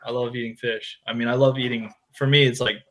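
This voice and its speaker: A man hosting a podcast in casual chat, laid-back and excitable, full of slang, quick to laugh. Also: mid-range voice.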